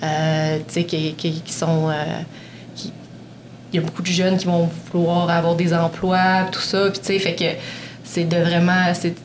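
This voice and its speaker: A woman's voice: lowish voice, moderate pace. A woman speaking hesitantly on a panel, with many fillers and restarts. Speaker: panel guest. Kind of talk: casual panel talk. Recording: steady background noise.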